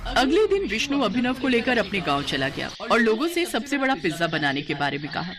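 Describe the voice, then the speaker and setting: A woman's voice: a little high, very animated, a little fast, a crowd in the background. A woman reading a scripted story as its storyteller narrator.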